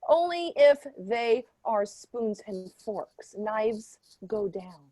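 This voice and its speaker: A woman playing a smug, defensive professor in an improvised mock debate, lecturing haltingly and with emphasis. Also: fairly high pitch, animated, mellow, dry recording, poor audio. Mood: indignant.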